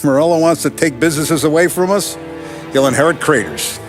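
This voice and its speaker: An actor playing a ruthless, coolly businesslike mafia boss with an American accent, giving blunt, clipped orders in a crime game. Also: male voice, a little high, a little fast, soft background music, lightly acted. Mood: commanding. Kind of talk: cinematic crime game dialogue.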